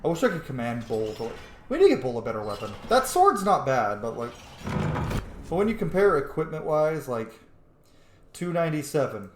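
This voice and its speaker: Animated man gaming in a roomy space. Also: on the high side, fairly quick. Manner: sweary, playful, thinking aloud, bursts into silly shouts. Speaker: streamer playing a role-playing video game. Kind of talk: casual gameplay commentary. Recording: roomy echo, faint background noise.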